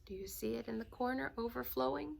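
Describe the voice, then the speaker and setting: A woman's voice: on the high side, a little fast, gravelly. A woman reading a children's storybook aloud like a teacher, with dramatic emphasis and asides to young listeners.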